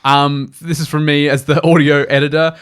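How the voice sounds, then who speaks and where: A man's voice: a little high, flat delivery, normal speed, a bit bright, smooth. A man, co-hosting a podcast and sharing practical tips in a chatty, emphatic way. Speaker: podcast co-host and audio editor.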